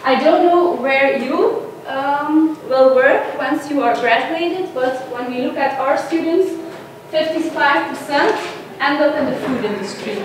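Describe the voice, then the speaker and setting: A woman, a lecturer giving a guest lecture with many pauses and "uh"s. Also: high-pitched. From Belgium. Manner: friendly, frequent "uh" pauses. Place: large echoey lecture hall. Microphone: distant room mic. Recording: echoey hall from far off, noisy.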